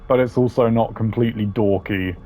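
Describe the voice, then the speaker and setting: A man's voice: on the low side, fairly even intonation, brisk, mellow, slight background noise. A man hosting a gaming video with casual, wry, sardonic commentary and some swearing. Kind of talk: casual tier-list commentary.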